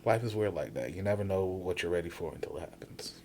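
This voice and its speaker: Low-voiced guy in his 30s, chill podcast co-host, slight background hiss. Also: expressive, fast, vocal fry. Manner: laid-back, musing, bursts into loud laughing rants. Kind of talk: casual chat.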